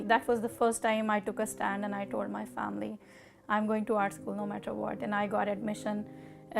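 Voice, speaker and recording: A middle-aged woman with a Pakistani accent, telling her own story in an interview in a measured, deliberate way with pauses. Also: mid-range voice, creaky. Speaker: artist interviewee.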